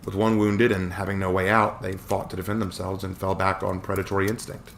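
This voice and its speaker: A man reading as a steady soldier. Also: sits low, a little fast, vocal fry, slight background noise. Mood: earnest. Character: steady young military man, protective.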